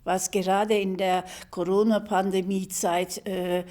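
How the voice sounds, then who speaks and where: Slow, halting woman in her seventies. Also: mid-range voice, flat delivery, warm tone. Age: 70s. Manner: slow and halting, many fillers. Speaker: interviewee. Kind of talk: reflective interview clip.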